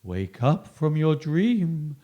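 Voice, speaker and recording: A spooky storybook ghost, put on by a man wails his chant in long, drawn-out moans. The voice rides high and swoops widely. Every word is stretched slow. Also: big pitch swings, dark tone, lo-fi sound. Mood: wailing.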